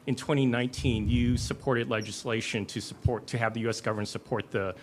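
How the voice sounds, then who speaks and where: A man's voice: mid-range voice, steady tone, a little fast, a little hiss or hum. A man asking a reporter's long, careful question at a press conference.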